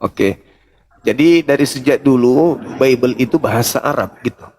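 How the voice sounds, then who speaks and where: A man's voice: mid-range voice, moderate pace, a little mellow. A man debating religion informally, questioning insistently in a confrontational, condescending way.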